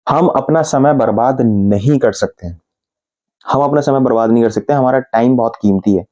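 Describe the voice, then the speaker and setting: A man with an Indian accent gives an English lesson, spelling out example sentences one word at a time. His voice is mellow and warm, sitting at an ordinary middle pitch. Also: dull audio. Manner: explains patiently, repeating example sentences slowly word by word. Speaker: English teacher. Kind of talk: Hindi-language English grammar lesson.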